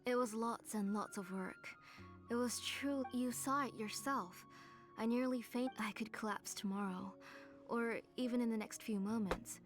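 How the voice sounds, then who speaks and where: Casting: actress as gentle, frail mother in a fantasy game, slightly high pitch, measured and earnest, light music underneath. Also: close to an everyday voice. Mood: weary, sorrowful. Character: gentle, devoted, ailing mother and name engraver. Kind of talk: video game voice acting.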